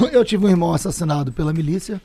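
Middle-aged Rio Portuguese man, guest author, fast and earnest, gravelly edge. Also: a little high, animated, a little mellow, faint music behind. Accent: Rio de Janeiro Portuguese. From Brazil, Rio de Janeiro state. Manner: earnest, insistent, repeats key phrases. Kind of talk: interview talk.

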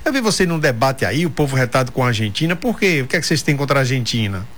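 Guy hosting a radio show, chatty and joking, reads the news off quick. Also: medium pitch, big pitch swings, a bit bright. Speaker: radio host. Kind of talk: casual radio talk show.

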